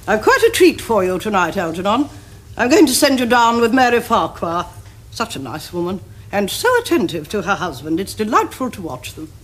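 Imperious upper-class English aunt, swooping delivery. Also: female voice, medium pitch, sing-song, a little fast, breathy edge. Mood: grandly sociable. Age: older. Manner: imperious, pompous, precise diction. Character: formidable older upper-class Victorian aunt, haughty and domineering. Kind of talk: stage-like period comedy acting.

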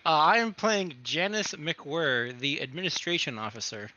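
A man's voice: on the high side, lively intonation, moderate pace, sharp tone, muffled recording. A man bantering casually as a player in an online tabletop game. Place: online group call.

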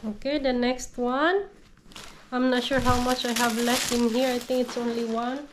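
A woman's voice: fairly high pitch, fairly even intonation, normal speed, background noise. A woman in her thirties with a cold, gushing over items she unboxes in her living room. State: has a cold. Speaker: haul vlogger. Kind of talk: casual product haul. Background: crinkling packaging.

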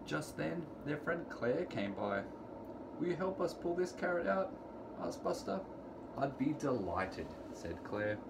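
A middle-aged man reading a children's picture book aloud to preschoolers in deliberate, sing-song storytelling phrasing, recorded in a room at home. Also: fairly high pitch, big pitch swings. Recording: clearly noisy home recording, room ambience.

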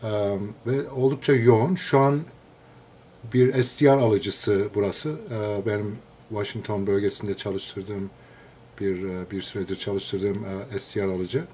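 A man's voice: lowish voice, little pitch movement, a little mellow, a little hiss or hum. Hesitant man giving a casual explanation. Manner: hesitant, explanatory, pauses between short phrases. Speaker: amateur radio hobbyist demonstrating a receiver. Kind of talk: casual unscripted screen walkthrough. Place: indoors, filming a computer screen.

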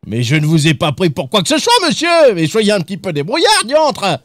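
A man playing a pompous, eccentric gentleman in a roleplay game, theatrical and mock-offended. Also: sits high, wildly swooping pitch, brisk, no echo, studio quality, heavily acted. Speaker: streamer. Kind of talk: in-character roleplay.

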